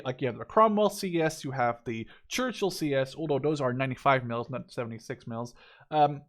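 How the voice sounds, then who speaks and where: Man, twenties, slightly higher pitch, casual gaming commentator, informal, unscripted, thinking aloud. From Canada-based, Dutch. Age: 20s. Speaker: gaming YouTuber reviewing a strategy game.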